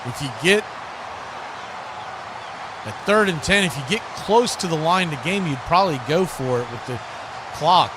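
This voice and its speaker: Guy doing live football play by play, kinda high voice, noisy recording. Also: lively intonation, moderate pace, long pauses. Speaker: play-by-play commentator. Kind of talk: live American football commentary.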